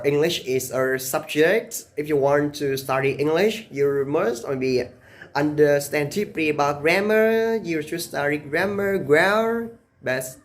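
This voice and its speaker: A young man from Vietnam with a Vietnamese accent, talking casually to camera about learning English, exaggerating his pronunciation and tagging lines with "okay?". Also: on the high side. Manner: deliberately exaggerated, emphatic pronunciation; frequent "okay?" tags and "uh" fillers. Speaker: English-learning video creator.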